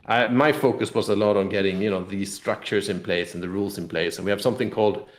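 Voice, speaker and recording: A man being interviewed as a game designer, talking casually and explaining in a rambling, halting way with repeated words. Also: lowish voice. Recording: roomy, echoing room sound.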